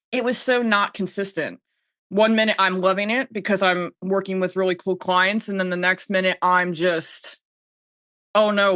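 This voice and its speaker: A podcast host in their thirties with a bright, forward voice, recorded close and dry. The delivery is casual and candid, often slipping into animated self-talk as if replaying inner thoughts. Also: medium pitch, dry recording.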